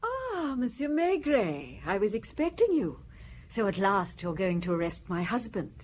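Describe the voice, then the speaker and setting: A woman playing a haughty, accusing upper-class wife in a radio drama, with a husky, imperious manner. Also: mid-range voice, huge pitch swings, unhurried, darkish tone, slight background noise. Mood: disdainful. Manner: imperious, indignant.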